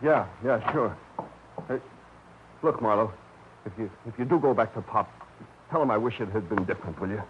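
A man's voice: lowish voice, normal speed, long pauses, natural voice. An actor playing a troubled young man, hesitant and wistful, in a 1940s radio drama. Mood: resigned. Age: young adult. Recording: noisy, dull old radio recording.

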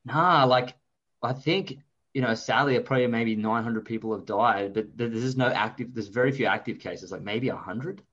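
Man, thirties, Australian accent, interview guest, informal and chatty, mid-pitched voice, slightly dull lo-fi audio. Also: normal speed, fairly bright tone. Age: 30s. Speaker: interview guest, investor and author. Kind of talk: casual conversational interview.

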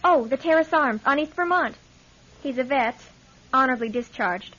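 A detective's dutiful assistant talks in a high voice, casual and a little weary, with dry asides. The radio drama audio is somewhat dull. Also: female voice, lively intonation, normal speed, a bit bright, a little hiss or hum.

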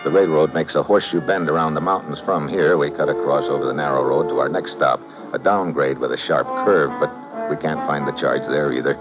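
A man's voice: a bass voice, fairly even intonation, brisk. A man playing a hard-boiled, sardonic investigator in classic radio adventure drama, with clipped, wry tough-guy delivery. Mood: terse narration. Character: hard-boiled, sardonic male investigator telling his own case. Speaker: lead actor and narrator. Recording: muffled old radio recording, music behind.

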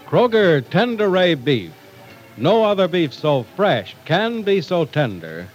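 A man's voice: fairly high pitch, very animated, slow, faint music behind. A man announcing in scripted 1940s radio style, with emphatic, polished delivery for sponsor copy and narration.

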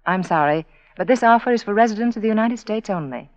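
A woman giving a scripted radio advertisement as the sponsor, measured and persuasive, speaking to listeners. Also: mid-range voice, expressive, no room sound. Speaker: sponsor spokeswoman. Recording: dry, dull 1940s radio broadcast.

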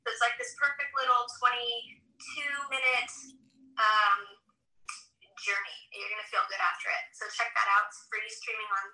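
Bubbly american woman guest, really bright sharp voice, kinda far from the mic in an echoey room. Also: on the high side, little pitch movement, moderate pace. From United States. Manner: bubbly and enthusiastic, loose with fillers. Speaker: guest, sound healer. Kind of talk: casual interview chat. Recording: roomy, a bit off-mic.

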